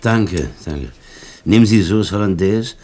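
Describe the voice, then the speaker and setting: An actor in a radio drama playing a courtly, amused gentleman with a husky voice, conversing in an urbane, attentive way. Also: deep voice, expressive, a little slow. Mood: genial, teasing. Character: courtly, amused gentleman dining with a young woman.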